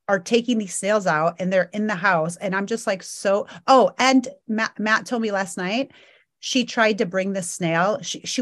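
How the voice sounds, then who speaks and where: A woman co-hosting a podcast, chatting casually and telling a lively story about her family over a remote connection. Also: mid-range voice. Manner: lively storytelling, animated retelling of family moments. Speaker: podcast co-host. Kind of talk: casual chat. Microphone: remote call.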